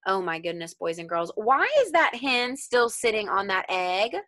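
Bright-voiced young woman teaching children. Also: mid-range voice, moderate pace, no room sound, clean studio sound. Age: young adult. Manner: animated, encouraging, addressing young children. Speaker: elementary school teacher. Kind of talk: children's read-aloud lesson.

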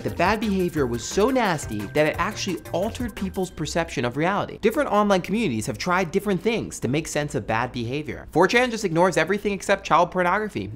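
A man's voice: on the high side, fairly bright tone. An American man in his thirties delivers a scripted video essay to camera as a host, with an emphatic, wry, conversational delivery. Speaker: web show host. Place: small studio set indoors. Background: music bed.

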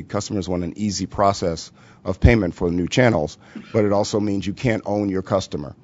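A man speaking as a panelist, making measured, point-by-point remarks with frequent hesitations. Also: low voice, darkish tone. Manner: measured, policy-focused, many "uh" fillers. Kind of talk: panel presentation. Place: conference room at a workshop. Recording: dull lo-fi conference audio.

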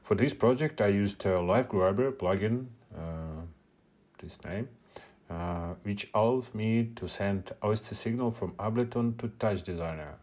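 A man's voice: lowish voice, unhurried, darkish tone. A man, a non-native English speaker whose first language is Russian, giving a hesitant screen-share tutorial at a meetup with many filler sounds. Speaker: media artist presenting at a meetup.

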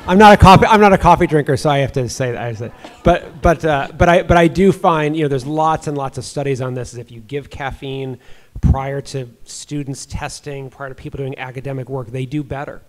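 A man's voice: medium pitch, brisk. A middle-aged man, a doctor giving informal answers on a panel through a handheld microphone. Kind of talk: informal expert Q&A. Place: auditorium stage. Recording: faint background noise.

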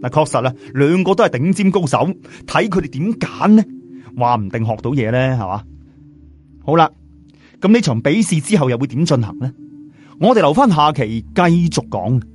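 Animated male storyteller, lively pitch. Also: normal speed. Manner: colloquial, chatty, rhetorical questions to listeners. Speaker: audiobook narrator. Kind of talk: animated oral storytelling of a serial novel. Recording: music behind the voice.

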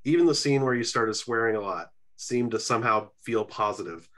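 A man's voice: mid-range voice, animated, moderate pace, a bit bright. A young man hosting an interview over a video call, asking friendly questions and laughing between them. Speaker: host, film reviewer. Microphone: webcam on video call.

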